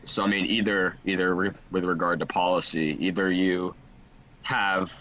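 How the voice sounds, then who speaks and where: Deep-voiced man, stammering on a call. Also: little pitch movement, normal speed, a bit bright, dull audio. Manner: stammering repeats, thinking aloud. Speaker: guest. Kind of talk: casual video call conversation. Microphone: video call.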